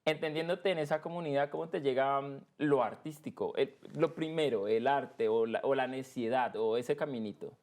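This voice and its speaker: A man with a Colombian accent from Cali, hosting a casual podcast interview and slowing down to stress key words. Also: medium pitch. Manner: expressive, slows down to stress words. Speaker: podcast host.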